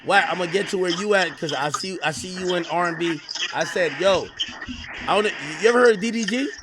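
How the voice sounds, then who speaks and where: A man in his thirties hosting a casual livestream chat, animated and talking over his guest, often repeating himself. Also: high-pitched, fairly quick, background noise. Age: 30s. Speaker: streamer host.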